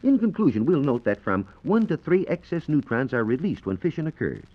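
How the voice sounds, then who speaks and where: A man's voice: fairly high pitch, very animated, a little fast. An American man working as a science reporter, explaining things precisely and didactically in the manner of 1940s radio. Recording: muffled vintage 1940s radio recording.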